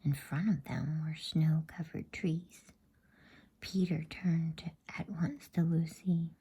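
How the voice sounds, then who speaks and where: A woman reading a bedtime story in a soft, breathy, soothing ASMR whisper, unhurried and gentle. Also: low-pitched, steady tone. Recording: faint background noise.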